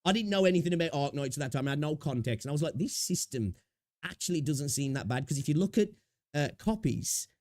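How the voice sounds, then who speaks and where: A man's voice: on the high side, expressive, fast talker, a little mellow, no room sound, clean studio sound. A young man chatting casually on a live stream, a little sniffly with a sore throat, rambling and greeting viewers. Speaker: streamer reacting to videos.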